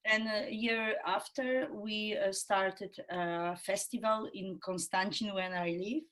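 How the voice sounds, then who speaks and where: Polish woman, 30s, unhurried and halting, interview guest. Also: mid-range voice, steady tone, fairly bright tone. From Poland. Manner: hesitant, many pauses and fillers. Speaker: improv theatre trainer, guest. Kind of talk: casual interview talk in a second language.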